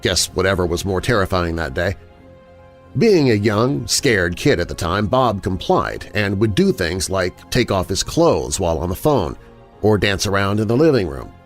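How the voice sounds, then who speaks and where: A man reading first-person scary stories as a narrator, in a dramatic, expressive storytelling manner. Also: sits low, normal speed. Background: background music.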